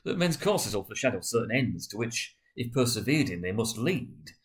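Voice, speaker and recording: A man's voice: on the low side, sing-song. A man voicing a frightened, repentant old miser who stammers and pleads in a dramatic storybook reading. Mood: fearful, halting. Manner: stammering, halting, urgent.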